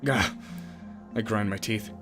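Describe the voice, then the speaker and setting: A man's voice: lowish voice, fairly even intonation, slow pace. A man giving casual gameplay commentary and reading game text aloud, with sweary, jokey asides. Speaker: let's player. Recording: game music behind the voice. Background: game music.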